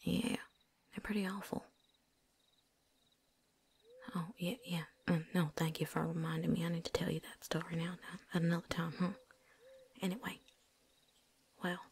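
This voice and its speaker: A woman playing a seasoned, wary but friendly vampire hunter in a hushed, folksy ASMR roleplay whisper. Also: on the low side, flat delivery, normal speed, vocal fry. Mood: confiding. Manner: hushed, folksy drawl, teasing.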